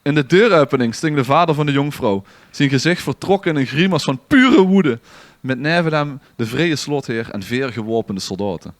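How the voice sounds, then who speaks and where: A man's voice: medium pitch, expressive, normal speed, hardly any pauses. A man with a Limburgish Dutch accent reading a scripted story aloud in a theatrical, literary manner as a podcast storyteller. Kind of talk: dramatic storytelling read from a script.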